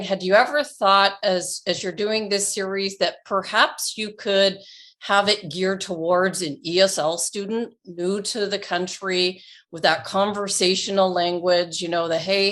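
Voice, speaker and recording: A woman's voice: medium pitch, a bit bright. A woman from Canada hosting a podcast, enthusiastic and asking long, detailed questions. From Toronto, Canada. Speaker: podcast host. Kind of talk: podcast interview.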